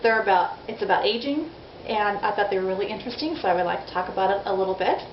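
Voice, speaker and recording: A woman's voice: mid-range voice, expressive, fast talker. Chatty woman in her forties, echoey room. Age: 40s. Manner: chatty, candid, self-deprecating. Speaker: vlogger. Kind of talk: casual video diary. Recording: dull lo-fi audio in an echoey room with faint noise.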